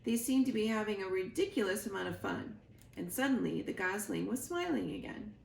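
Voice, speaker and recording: A woman storyteller giving an expressive read-aloud of a children's book. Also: mid-range voice, brisk, vocal fry. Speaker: library storyteller. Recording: roomy echo, faint background noise.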